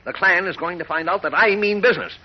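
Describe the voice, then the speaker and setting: An actor playing a stubborn, fearless older newspaper editor with a brusque, emphatic delivery, in 1940s radio drama style. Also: male voice, high voice, fast talker. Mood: irritated, blustering. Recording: dull lo-fi 1940s radio recording.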